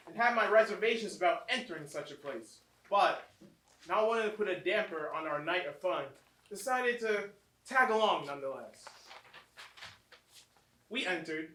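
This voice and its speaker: An actor playing an uneasy young clubgoer narrating a horror tale in a theatrical, deliberate staged reading. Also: mid-range voice, bright, forceful tone. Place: small theatre, recorded from a distance. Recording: distant roomy stage recording, faint noise.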